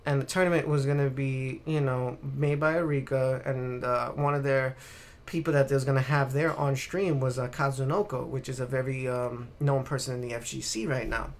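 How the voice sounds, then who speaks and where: A man's voice: medium pitch, steady tone, moderate pace. Slangy man telling gaming stories. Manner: informal, slangy, sweary storyteller. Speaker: podcast co-host. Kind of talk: casual anecdotal gaming chat.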